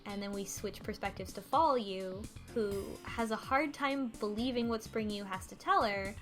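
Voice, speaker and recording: A woman co-hosting a talk show, casually retelling a story in a halting, conversational way. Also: mid-range voice, fairly bright tone, soft background music. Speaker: podcast co-host. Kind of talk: casual recap discussion of a story.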